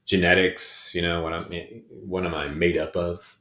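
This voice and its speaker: Low-voiced man, halting and reflective. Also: level tone, bright, forceful tone. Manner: hesitant, many ums and restarts. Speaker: podcast co-host. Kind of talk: reflective casual conversation. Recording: echoey room.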